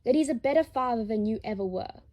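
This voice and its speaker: A woman's voice: a little high, expressive, fast, mellow. A woman reading a dramatised story as a hot-tempered, protective father, blunt and combative. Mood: angry taunting. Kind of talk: dramatised audiobook reading of fan fiction.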